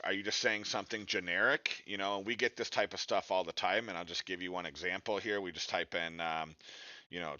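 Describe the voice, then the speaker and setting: A man's voice: sits low, pushed and bright, no echo, muffled recording. A man giving an informal instructional webinar in a direct, conversational way, checking in with short tag questions. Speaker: webinar presenter, digital marketing instructor.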